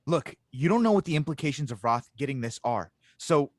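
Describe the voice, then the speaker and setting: An earnest young starship inventor played by a man, stubborn and insistent, in a sci-fi audio drama. His mid-pitched voice is recorded very close and dry. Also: animated, fairly quick, no echo, lightly acted. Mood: frustrated, urgent. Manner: urgent, insistent. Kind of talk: audio drama table read.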